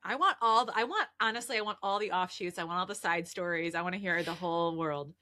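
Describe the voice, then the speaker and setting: A woman co-hosting a podcast with casual, giggly, excitable comic banter. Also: on the low side, fast, no room sound, clean studio sound. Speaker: podcast co-host.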